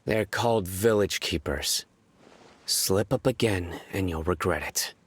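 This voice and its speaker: Very deep, terse, menacing warrior. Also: male voice, unhurried, a little hiss or hum. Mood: cold threat. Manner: terse, deadpan. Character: stern, cold, menacing young warrior man. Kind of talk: video game voice acting.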